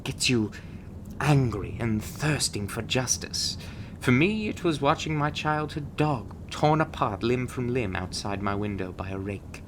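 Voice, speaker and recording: The seasoned agent who interviews recruits behind a warded door in a monster-hunting audio drama, slow, theatrical and condescendingly suave, every sentence swooping, acted out by a man. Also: medium pitch, expressive, background noise, close to an everyday voice. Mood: wistful, darkly fond. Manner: theatrical, drawling, calls listener darling and doll. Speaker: voice actor in an audio roleplay. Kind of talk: scripted ASMR roleplay acting.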